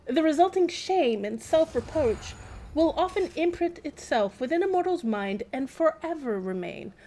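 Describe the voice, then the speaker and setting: A woman streaming a video game, commenting and reading in-game text aloud in a wry, chatty, expressive way, with game music behind her. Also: high-pitched, moderate pace.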